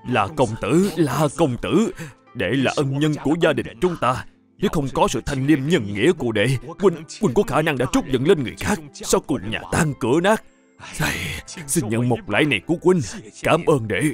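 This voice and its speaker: Middle aged master of the house in a historical cartoon, gushing n halting, very swoopy pitch. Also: male voice, very animated, soft background music. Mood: grateful, moved. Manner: effusive, halting, full of interjections. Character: excitable, grateful middle-aged male master of an old Chinese household. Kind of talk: animated historical cartoon dubbing.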